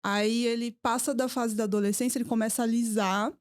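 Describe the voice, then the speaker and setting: A woman's voice: fairly high pitch, steady tone, brisk, no echo, clean studio sound. A woman who grew up between Brazil and Japan, a podcast guest telling stories in a hesitant, laughing way. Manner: storytelling, hesitant, laughs often. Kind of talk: casual conversational interview.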